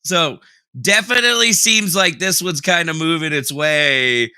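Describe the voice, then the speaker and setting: A man's voice: fairly high pitch, unhurried, pushed and bright, smooth, no echo. A young man casually hosting a livestream, joking sarcastically and reading viewer messages aloud. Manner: jokey, sarcastic, self-mocking; reads chat aloud and reacts. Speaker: livestream host.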